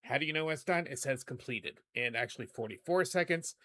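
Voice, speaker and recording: A middle-aged man with a hoarse voice hosting a casual video editing tutorial, chatty and rambling, often correcting himself. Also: medium pitch, animated, a little fast, pushed and bright. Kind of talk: casual screen-recorded tutorial.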